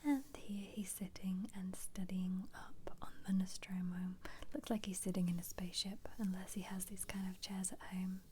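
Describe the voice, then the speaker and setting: A woman whispering in a breathy, playful ASMR style, musing aloud as she looks through a picture book. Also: medium pitch, flat delivery, moderate pace, hardly any pauses, mellow, a little hiss or hum. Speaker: ASMR host.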